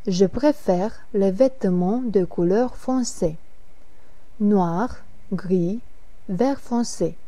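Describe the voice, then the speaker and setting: A woman reading the part of Anne, an adult woman who likes simple sporty clothes, in a slow, scripted lesson dialogue. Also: mid-range voice, warm tone. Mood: plain. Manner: careful, evenly paced reading.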